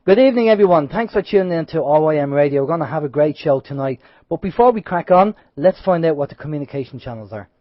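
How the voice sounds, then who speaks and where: A man's voice: a little high, quick pace, dry recording. A man co-hosting an internet radio show with a sore throat and a slightly nasal voice, chatting casually and rambling a little. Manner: chatty, rambling, lively openings then trailing off. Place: radio studio. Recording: dull lo-fi sound, dry studio.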